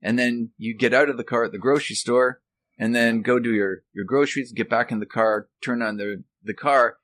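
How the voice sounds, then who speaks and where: A middle-aged man, a guest explaining things casually and haltingly, step by step. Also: medium pitch, fairly even intonation, fairly bright tone. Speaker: guest, author and former radio technician.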